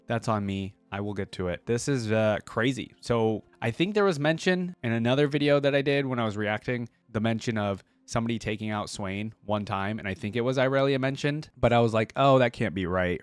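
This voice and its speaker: A man's voice: lowish voice, brisk, hardly any pauses, smooth, dry recording. A man hosting a reaction video, reading lore aloud and commenting in an informal, exclamatory way. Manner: informal, filler-heavy, exclamatory asides.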